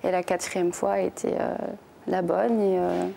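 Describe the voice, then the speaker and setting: Young woman guest on a tv talk show, mellow voice, lots of hesitating euhs. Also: lowish voice, little pitch movement, normal speed, creaky, slight background noise. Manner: plain, hesitant telling with many "euh". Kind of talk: recounting personal story on TV. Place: television studio.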